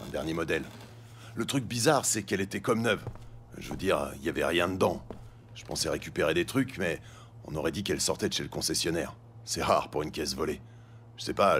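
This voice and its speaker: An actor playing a nervous, crooked scrapyard owner in his forties in a crime audio drama, talking in a halting, defensive, slangy way. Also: sits low, a bit bright, a little hiss or hum.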